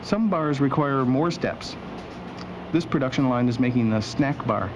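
A man's voice: medium pitch, unhurried. Man narrating wryly, dull noisy recording. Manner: wry, deadpan. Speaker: host and narrator. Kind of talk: scripted documentary narration with dry humor. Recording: dull old video soundtrack with machinery noise.

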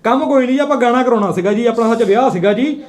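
A man's voice: very high voice, racing, background noise. A man chatting casually and making prank phone calls as the host. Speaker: prank call video host.

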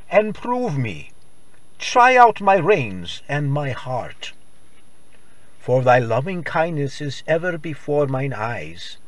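Slow, dramatic man reciting scripture. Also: on the high side, dry recording. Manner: declamatory, deliberate phrasing. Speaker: worship service reader.

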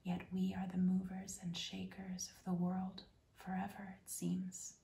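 Woman, ASMR host, soft whisper, flat and even delivery, echoey room. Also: medium pitch, a little slow, gravelly, slight background noise. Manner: soft unvoiced whisper throughout. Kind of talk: whispered ASMR poetry reading.

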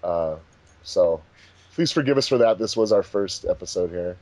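A man's voice: sits low, lively intonation, normal speed, darkish tone, no room sound, low-quality recording. A man co-hosting a casual commentary track as the director, chatting about the recording process. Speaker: fan dub director, commentary co-host.

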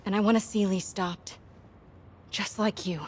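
A woman's voice: medium pitch, fairly even intonation, unhurried, a little hiss or hum, close to an everyday voice. An actress playing a young woman reporter sneaking around, with tense, urgent whispers. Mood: pressing. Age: young adult.

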